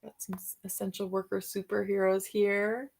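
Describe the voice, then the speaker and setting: A woman reading a picture book aloud to children, expressive, with soft musing questions for young listeners. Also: mid-range voice, moderate pace, creaky. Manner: expressive reading, alternating with softer musing asides and hums. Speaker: read-aloud storyteller for children.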